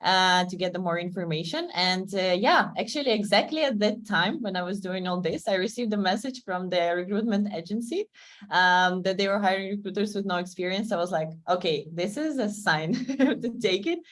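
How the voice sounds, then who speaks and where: A woman's voice: medium pitch, expressive, normal speed. A woman from Ukraine, a recruiter sharing her career path in a webinar, chatty and laughing often. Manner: friendly, laughs often, fillers. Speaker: guest recruiter. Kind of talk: webinar conversation.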